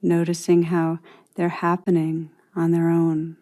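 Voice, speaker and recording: A woman with a slightly low, soft-edged and mellow voice leads a guided meditation. She speaks very slowly and soothingly, as a teacher would, leaving long pauses between phrases. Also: completely flat delivery.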